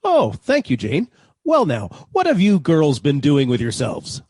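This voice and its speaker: Casting: male actor as pompous, courteous suitor, 1940s radio comedy; husky, hugely animated intonation. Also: fairly high pitch, a little slow, dry recording, clean studio sound, heavily acted. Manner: pompous, formal, effusive. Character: stuffy, polite, well-bred gentleman suitor.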